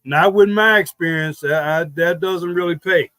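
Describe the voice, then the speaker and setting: A man's voice: fairly high pitch, normal speed, fairly bright tone, no echo. A man speaking as a guest on a live online interview, blunt and emphatic. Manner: blunt, emphatic, plain-spoken.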